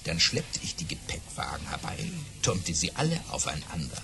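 An actor playing a boastful baron who tells tall tales in a grandiose, theatrical audio play style. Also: male voice, low-pitched, huge pitch swings, moderate pace, vocal fry, heavily acted. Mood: boastful, suspenseful.

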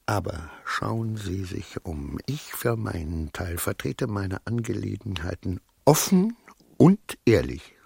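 An actor in a radio play playing a flustered, proud, insecure minor clerk who rambles between pompous declarations and muttering. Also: male voice, lowish voice, big pitch swings, slow, gravelly, darkish tone, breathy edge, exaggerated voice. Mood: solemn, flustered.

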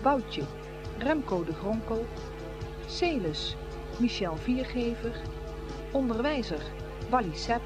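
A woman's voice: fairly high pitch, lively intonation, takes it slowly, long pauses, music in the background. A woman making a formal, scripted announcement as a radio drama announcer.

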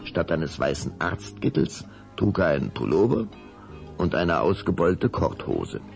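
A man's voice: sits low, sing-song, measured pace, vocal fry, soft background music, exaggerated voice. A man narrating a children's audio drama as its scripted storyteller. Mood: measured storytelling. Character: male storyteller narrating a children's vampire tale.

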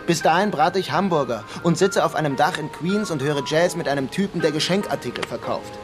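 Actor as wry, disillusioned would-be crook, music behind. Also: male voice, on the high side, a little fast, close to an everyday voice. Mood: frustrated, proud. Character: disillusioned would-be artist narrating his small-time heist plan. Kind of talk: German radio crime drama, dialogue and reflective narration.

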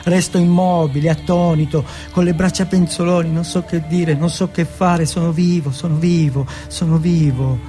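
Guy doing a dramatic stage monologue, soft mellow tone, short broken phrases, bit of music behind. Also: on the high side, fairly even intonation. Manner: halting, repetitive short phrases with dramatic pauses. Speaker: monologue performer. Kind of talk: dramatic first-person theatrical monologue.

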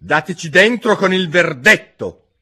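A man voicing a pompous storybook king who barks loud orders. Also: sits high, expressive, measured pace, slightly rough, voice pushed up, heavily acted. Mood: bossy. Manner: loud, bossy commands. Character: blustering pompous fairy-tale king.

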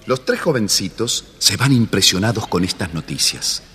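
A man with an Argentine accent narrates a children's tale in a low voice that moves with animated rises and falls. Long reverb surrounds him, with soft music underneath. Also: normal speed, husky. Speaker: storybook narrator. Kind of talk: scripted children's story narration.

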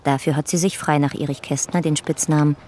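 An actress narrating a radio drama as a family chronicler, factual and composed with dry irony. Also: female voice, deep voice, fairly even intonation, fairly quick, mellow, slight background noise, lightly acted. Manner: factual, composed, dryly ironic. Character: matter-of-fact, dryly ironic chronicler of a family.

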